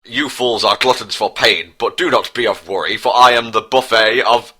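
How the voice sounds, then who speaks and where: A man acts out a cocky cartoon cat hero with a forceful, lowish voice. He tosses off taunting quips in stilted, oddly worded English, like a smug action star. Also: moderate pace, husky. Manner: taunting one-liners in stilted broken English.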